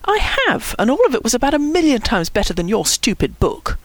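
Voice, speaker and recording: An indignant, boastful storybook boy acted by a woman, protesting emphatically. The pitch swings widely and the sound is dry. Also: big pitch swings, brisk, dry recording, natural voice. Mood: boastful, defensive.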